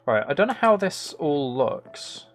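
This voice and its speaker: A soft-spoken man chatting casually on a gaming livestream, thinking aloud in a musing, tentative way as he builds. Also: fairly high pitch, very animated, a little slow, faint music behind. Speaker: livestream host.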